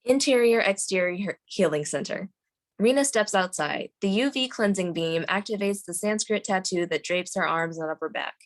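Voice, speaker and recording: A woman with a medium-pitched voice serves as narrator at a table read. She reads out scripted scene headings and action. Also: moderate pace. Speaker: narrator reading screen directions.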